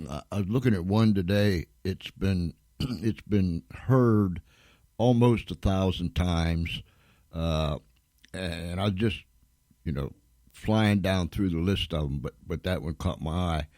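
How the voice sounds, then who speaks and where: Deep, slow-talking male radio host. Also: darkish tone, no room sound, clean studio sound. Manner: halting, folksy, repeats himself. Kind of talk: casual unscripted chat to listeners.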